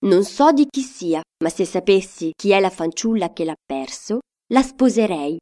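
Woman as a lively, earnest young prince. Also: medium pitch, normal speed, natural voice. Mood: coy. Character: curious, lovestruck young prince.

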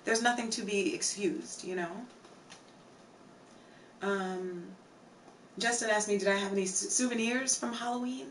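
A young woman with a hoarse, tired voice, chatting casually with her viewers on a home live stream and filling her talk with "like" and "um". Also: medium pitch, fairly even intonation, measured pace, pauses often, recorded from a distance, a little hiss or hum, poor audio. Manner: chatty, reads out chat names, many fillers. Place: home streaming room with shelves.

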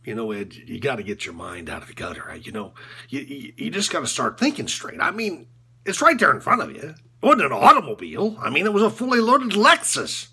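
Man acting a husky, bitter disillusioned coach. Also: mid-range voice, wildly swooping pitch, bright, forceful tone, exaggerated voice. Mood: weary reproach. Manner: halting, repetitive, sardonic, swinging from muttering to outbursts. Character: disillusioned, bitter male college basketball coach confessing corruption. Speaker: actor performing a film monologue. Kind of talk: dramatic monologue.

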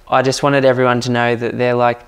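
This Australian man hosts a property podcast with eager, chatty energy. His voice is light and youthful, and it stays level, with little rise and fall. He stays at a middle pitch for a man and fills his talk with casual fillers. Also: monotone, a little fast. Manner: enthusiastic, chatty, lots of fillers. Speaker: podcast host.

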